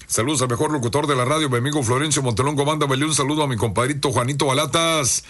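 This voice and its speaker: Hoarse chummy radio man. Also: medium pitch, rapid-fire, a bit bright. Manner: chummy, reads listener messages aloud. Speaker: radio host. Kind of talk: casual radio shout-outs.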